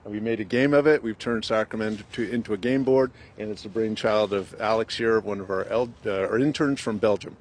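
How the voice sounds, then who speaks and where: A man's voice: on the low side, brisk, few pauses, a little mellow. A middle-aged man explaining things as a museum tour manager in a live TV interview on a handheld microphone. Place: outdoors in a sunny city park. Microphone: reporter's handheld microphone. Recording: faint outdoor street noise.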